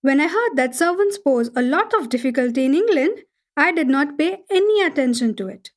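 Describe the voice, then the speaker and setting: An observant Indian lady traveller of the 1930s in a radio drama. Her voice sits high, and she explains daily life in formal, measured, carefully composed sentences. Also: expressive. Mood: earnest, observant. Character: observant Indian woman travel writer living in 1930s London. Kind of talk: scripted dramatic reading of a travel account.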